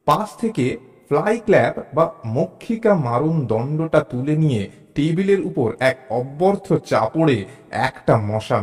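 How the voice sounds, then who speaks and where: A man narrating a scripted audio story in a measured, expressive storytelling manner. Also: on the high side. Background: musical background.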